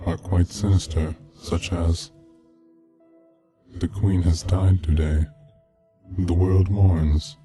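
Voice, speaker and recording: A man narrates an eerie tale in a very low voice that barely rises or falls. His delivery is scripted, measured and deadpan. Music plays clearly behind him. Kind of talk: scripted horror story narration. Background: background music from game soundtrack.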